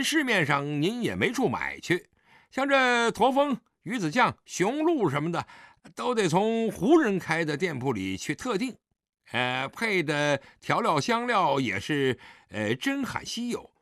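A man's voice: mid-range voice, wildly swooping pitch, unhurried, bright, forceful tone, no room sound, studio quality, exaggerated voice. A man acting a drunk, defiant grown son who taunts his father insolently in an audiobook drama. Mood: provocative. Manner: insolent, taunting, slightly stumbling speech.

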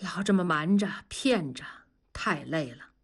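A woman voicing a quiet, lonely middle-aged man who speaks slowly and softly. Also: on the low side, breathy edge. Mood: weary. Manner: slow, subdued, soft-spoken.

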